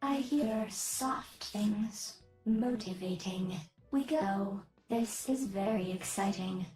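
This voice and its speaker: A woman's voice: medium pitch, slow pace, close to an everyday voice, rough recording. A woman voicing a detached, eerily childlike clockwork robot girl in a video game, speaking in short mechanical phrases. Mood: eerie, playful. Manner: short clipped phrases, flat detached menace. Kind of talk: video game character voice lines. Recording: game audio clip over music.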